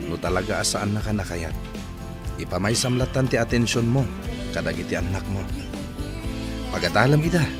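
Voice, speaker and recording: A man playing a steady friend who gives frank, consoling advice in an Ilocano radio drama. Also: fairly low pitch, moderate pace, pauses often, over loud music, lightly acted. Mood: earnest. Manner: earnest, advising.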